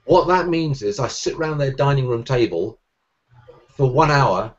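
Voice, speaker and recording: A man in his forties with a Southern English Sussex accent, a magician speaking with crisp diction and quick energy in a casual video-call interview. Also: medium pitch, dull audio. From England. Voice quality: clear diction. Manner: fast, energetic talker, gestures while speaking. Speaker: magician guest. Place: room at home, indoors. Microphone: webcam on a video call.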